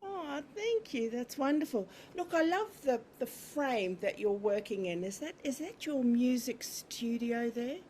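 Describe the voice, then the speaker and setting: A woman's voice: a little high, animated, a little slow, hardly any pauses, darkish tone, a little hiss or hum. A woman hosting a podcast interview over a video call, effusive and lavishing praise on her guest. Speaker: podcast host.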